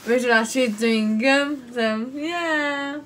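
Young woman, high-pitched, sore throat, rambling casual vlog, small room, phone mic. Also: takes it slowly, a little hiss or hum. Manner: rambling, repeats herself, many fillers. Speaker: vlogger. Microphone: phone camera propped nearby.

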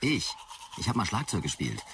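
A man's voice: fairly low pitch, wildly swooping pitch, moderate pace, a bit bright, distant mic, a little hiss or hum. An actor playing a weary, grumpy salesman in a sci-fi audio drama, curt and sardonic. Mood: sardonic, incredulous. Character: weary, grumpy male office salesman in a future city.

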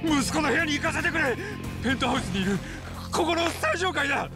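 Desperate high-voiced crime boss father. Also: animated, brisk, over music. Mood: urgent shouting. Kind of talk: dramatic video game cutscene acting.

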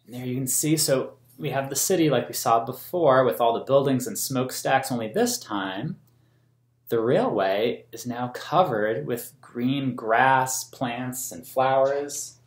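An American man in his thirties reading a picture book aloud to children in a gentle, explanatory way. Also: medium pitch, unhurried. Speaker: museum story time host. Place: small plain room indoors. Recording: roomy indoor echo.

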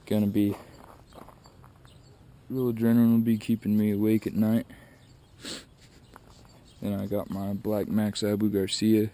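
A man's voice: on the low side, monotone, measured pace, long pauses, dark tone, a little hiss or hum. A young man talking casually to an action camera outdoors while fishing, in a plain, matter-of-fact way. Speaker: fishing vlogger. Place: outdoors by a truck and a river at dusk. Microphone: wide-angle action camera held close.

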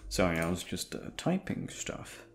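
A man with a deep voice, sleepy, rambling casually to his listeners in an offhand, hesitant, sweary way. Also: sing-song, normal speed, faint music behind. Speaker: audio creator talking to listeners.

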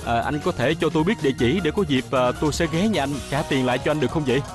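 A man's voice: on the high side, fast talker, over music, natural voice. A man playing a kind, humble farmer father in a children's cartoon, with a Southern Vietnamese accent and hesitant, stammering delivery. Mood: grateful. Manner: hesitant, stammering with many filler sounds.

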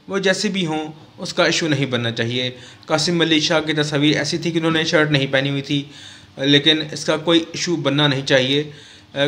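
Man, middle-aged, from Pakistan, vlog news commentator, formal and measured, hesitant "uh" pauses, slightly high voice, close desk mic, small studio. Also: a bit bright. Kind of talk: current-affairs commentary vlog. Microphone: desk mic on a stand close to the mouth.

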